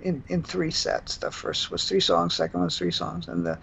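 Hesitant gravelly male musician. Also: fairly high pitch, big pitch swings, a bit bright. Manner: hesitant, halting, full of ums. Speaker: musician guest. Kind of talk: casual radio interview answers. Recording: dull lo-fi line with faint noise.

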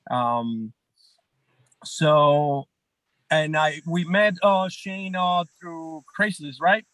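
A man in his thirties talks loosely about his band, restarting sentences and checking in with the others. His voice sits a bit high for a man. The sound is close and dry, with no room around it. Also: very slow, a bit bright, no echo, low-quality recording. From Colombia. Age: 30s. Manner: rambling, many restarts and "right?" checks. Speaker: band guitarist and songwriter guest. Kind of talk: casual interview chat.

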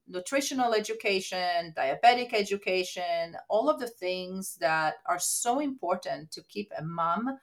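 A woman, a nurse practitioner answering interview questions eagerly, often pausing on um and uh. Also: fairly low pitch. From Israel. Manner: eager, fills pauses with um and uh.

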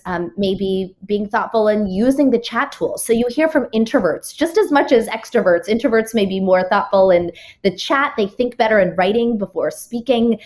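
A woman author speaks as a podcast guest. She is methodical and names each principle before illustrating it with a story. Her pitch sits in the middle range and her phrasing is clear, with occasional restarts. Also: a little mellow. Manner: structured, explains with examples and numbered rules. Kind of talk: podcast interview answers.

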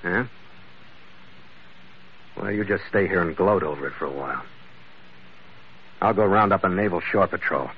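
An actor playing a tough, wry sailing ship skipper in a 1940s radio adventure, both narrating and speaking in character. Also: male voice, low-pitched, normal speed, pauses often. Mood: scornful. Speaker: ship's captain and narrator. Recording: muffled, very noisy old radio recording.

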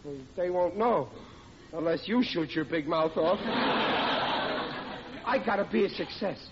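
A man's voice: high-pitched, unhurried. An actor playing a bumbling, protesting middle-aged family man in old radio comedy. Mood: agonized, wavering. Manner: blustering, hapless, protesting. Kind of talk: 1940s radio comedy acting. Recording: muffled old radio recording with clear noise.

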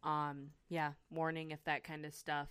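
A playful podcast host who teases the guest and laughs often. The voice sits at a middle pitch and is recorded dry and close, with no room sound. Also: completely flat delivery, measured pace, a bit bright, clear, resonant tone, no echo. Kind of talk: casual podcast conversation.

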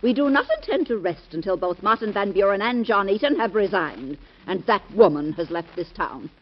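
An actress playing an indignant, haughty society wife in a 1940s radio drama, on a dull old broadcast recording. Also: female voice, mid-range voice, animated, moderate pace, close to an everyday voice. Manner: haughty, scandalized.

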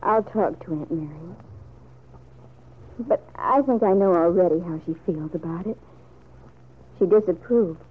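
A woman's voice: lowish voice, a little slow, pauses often, breathy edge. An actress playing an earnest, resolute young woman seeking approval in an old-time radio serial. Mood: earnest, determined. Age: young adult. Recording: dull, lo-fi vintage radio recording.

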